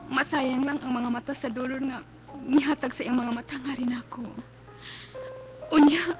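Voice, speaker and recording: A dull, lo-fi radio drama with faint music carries an actress playing a blinded woman in hospital. Her voice is very high, Cebuano-accented and full of pleading, drawn-out anguish. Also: steady tone, natural voice. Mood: anxious, hopeful.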